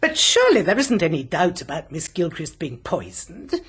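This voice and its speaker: An earnest family member in a radio whodunit. Her voice is extremely husky, with lively, questioning intonation. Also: lowish voice, moderate pace, heavily acted. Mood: earnest objection. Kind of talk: radio mystery drama acting.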